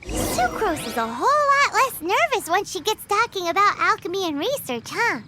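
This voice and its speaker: A woman's voice: very high voice, big pitch swings, normal speed, very noisy. A woman voicing a tiny, childlike female fairy companion in a video game, chirpy, cheeky and given to exclaiming. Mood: upbeat. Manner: perky, exclaiming, refers to herself by name. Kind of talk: exaggerated cartoon-like game voice acting.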